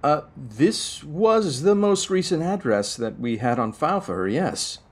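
A man playing a polite, businesslike talent agency caller who bluffs smoothly in a tabletop audio drama. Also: on the high side, sing-song, a little slow, pitched above the performer's own voice, full character voice. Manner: courteous, businesslike.

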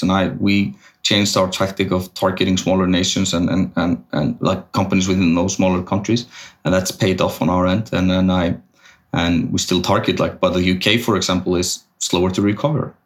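A man with a very low, deep voice answers questions as a podcast guest. He talks in a halting way, restarting sentences as he works out his thoughts. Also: steady tone. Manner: hesitant, restarts often, thinks aloud. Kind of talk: casual business interview.

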